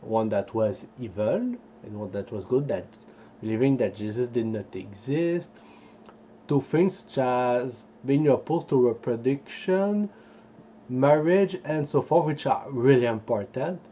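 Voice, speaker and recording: A man, an English speaker, hosting an informal history explainer in a halting, digressive way as he searches for words. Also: medium pitch, animated, mellow, slight background noise. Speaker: host of a history video series. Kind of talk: informal explainer with live translation from a book.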